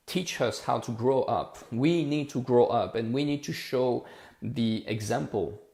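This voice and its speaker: A middle-pitched man of forty speaks English, pressing his points with repetition. It is casual livestream talk, recorded with a noticeable room echo. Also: vocal fry, a little mellow. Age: 40s. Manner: impassioned, repetitive, rhetorical. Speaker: livestream host.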